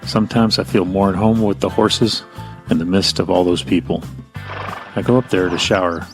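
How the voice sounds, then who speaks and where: An easygoing, solitary ranch hand in an audio drama. His voice is very deep and full of gravelly creak. Music plays clearly behind him. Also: normal speed. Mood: friendly, laid-back.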